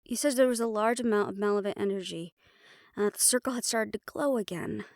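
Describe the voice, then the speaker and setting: A woman playing a calm, protective householder who befriends ghosts, gently firm and reassuring, in an audio roleplay drama. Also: mid-range voice, fast talker, no room sound, studio quality, close to an everyday voice. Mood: thoughtful.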